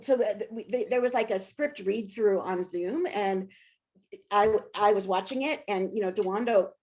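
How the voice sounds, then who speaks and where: A woman's voice: medium pitch, normal speed, a little mellow. A woman being interviewed as an author and scholar, talking casually and candidly with self-deprecating humor.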